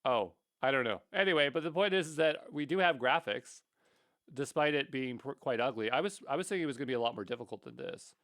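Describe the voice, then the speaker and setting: A man's voice: mid-range voice, expressive, fast talker, fairly bright tone, no room sound, studio quality. A man giving an unscripted coding tutorial, thinking aloud in a rambling way with mildly exasperated asides. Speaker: tutorial instructor.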